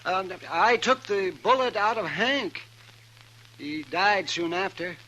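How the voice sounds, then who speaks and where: An actor playing an anxious, kindly older country doctor of the American West, hesitant and fussy, in 1950s radio western style. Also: male voice, high voice, animated, slow, sharp tone, full character voice. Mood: nervously placating. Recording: noisy vintage radio broadcast.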